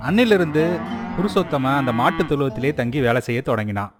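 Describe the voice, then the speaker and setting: A man's voice: medium pitch, sing-song, quick pace, music in the background. A man reading scripted narration as the storyteller of a moral tale.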